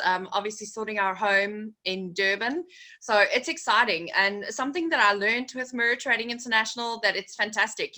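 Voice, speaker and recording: A woman's voice: mid-range voice, pushed and bright. A woman hosting a team video call, bubbly and enthusiastic. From South Africa. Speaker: host of a corporate call. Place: guest house room.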